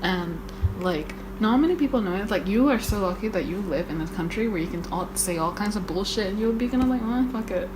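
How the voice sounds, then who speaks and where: A woman's voice: medium pitch, lively intonation, brisk, noisy background. A young woman from Malaysia, co-hosting a podcast in casual chat, swearing freely and using lots of fillers. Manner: chatty, swearing, many fillers. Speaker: podcast co-host.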